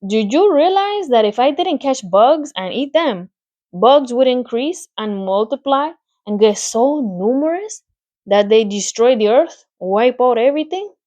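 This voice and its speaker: A woman's voice: a little high, lively intonation, a little slow, dark tone, voice pushed up. A woman reading a storybook aloud, voicing a clever, matter-of-fact female spider in a pleasant, thin voice with a put-on accent. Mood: lecturing. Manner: put-on accent, relishing, lecturing.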